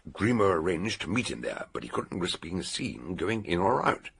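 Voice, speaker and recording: Deep gravelly old British detective. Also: male voice, sing-song, full character voice. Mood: animated, authoritative. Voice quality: gravelly, husky. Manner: theatrical, deliberate, expository. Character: eccentric older male detective expounding a murder solution. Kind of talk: radio detective drama acting.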